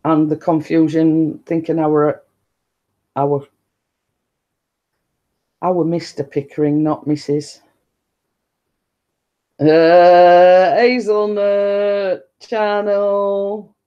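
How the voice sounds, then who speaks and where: A woman with a deep-toned voice, chatting casually and rambling to her viewers on a live stream. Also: flat delivery, drawn-out words, long pauses, warm tone, highs cut off. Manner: chatty, meandering, repeats stock phrases and laughs often.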